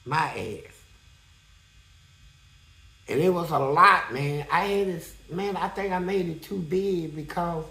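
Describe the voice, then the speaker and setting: A man with a high-pitched voice telling a prison story in a blunt, profane, animated way as an interview guest. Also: creaky, roomy sound, poor audio.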